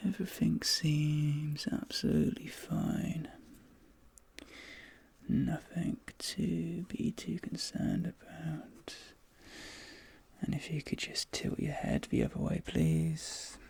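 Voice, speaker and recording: Very slow, breathy, crackling ASMR whisper. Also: mid-range voice, steady tone, dry recording. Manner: gentle, reassuring, unhurried with short soft phrases. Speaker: ASMR performer playing a dentist. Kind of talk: ASMR role play, whispered personal attention. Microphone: close ASMR microphone.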